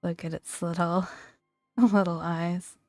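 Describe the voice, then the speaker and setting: A woman speaking softly and breathily in a gentle, playful ASMR style, often dropping into a whisper as she reads and comments. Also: on the low side, level tone, slow, no room sound, studio quality, faint music behind. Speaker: gaming video host. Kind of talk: soft-spoken ASMR, reading creature entries with casual commentary.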